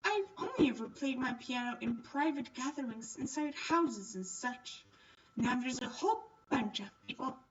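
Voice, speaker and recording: A woman's voice: high-pitched, animated, normal speed, distant mic, dull audio. A woman voicing a friendly, chatty young noble girl in a visual novel. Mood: nervous. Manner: bubbly, eager, friendly. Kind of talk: visual novel character reading.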